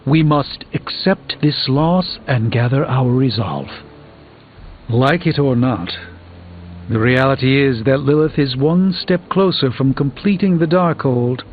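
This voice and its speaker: An actor playing a proud, grave sorcerer burdened by failure in a video game, speaking in a formal, dramatic, articulate manner. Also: male voice, medium pitch, a little slow, highs cut off, soft background music, natural voice. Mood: anguished, bitter. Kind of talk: video game character dialogue.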